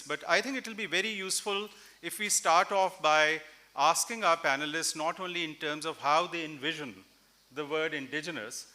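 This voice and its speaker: Bright-voiced male panel moderator. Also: a little high. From Pakistan. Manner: measured, reflective, thinking aloud with fillers. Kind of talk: panel discussion at a literary festival. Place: large indoor festival stage with audience. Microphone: stand mic.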